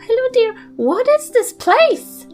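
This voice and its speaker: An elderly female adventurer in a fantasy tabletop game, acted by a woman, with a lively, swooping delivery. She is doting and folksy, full of curious wonder. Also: very high-pitched, slow pace, faint music behind, pitched above the performer's own voice, exaggerated voice. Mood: awed, kindly. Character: kindly, curious old granny adventurer, meant to be grumpy.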